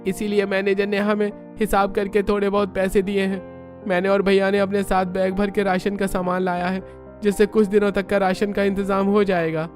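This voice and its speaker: A poor, earnest young husband in a cartoon tale, acted by a man. The voice is pushed high and carries over light background music. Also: monotone, moderate pace, natural voice. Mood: dejected. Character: distressed young working husband and son in poor family. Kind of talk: cartoon story dialogue.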